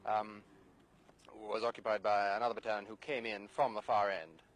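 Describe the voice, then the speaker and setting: A male army officer gives an unscripted briefing in a fairly low voice. He is formal and understated, feeling his way through phrases with frequent hesitations. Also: level tone, moderate pace. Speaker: British Army battalion officer. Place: outdoors, overlooking the area.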